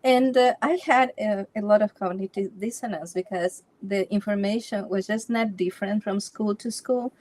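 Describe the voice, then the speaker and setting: A woman's voice: mid-range voice, fairly even intonation, normal speed, few pauses, a little mellow, no room sound. Woman from Ecuador telling her story. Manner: storytelling with frequent restarts and light laughs. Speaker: Feng Shui consultant, interview guest. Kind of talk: casual interview chat.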